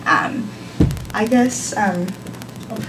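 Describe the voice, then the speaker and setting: A woman's voice: mid-range voice, drawn-out words. Young woman teaching in an echoey room. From Hong Kong. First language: Cantonese. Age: young adult. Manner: hesitant, lots of ums, light laughs. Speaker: volunteer language teacher. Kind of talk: live classroom lesson. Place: classroom. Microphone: far camera. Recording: echoey room from far off, noisy.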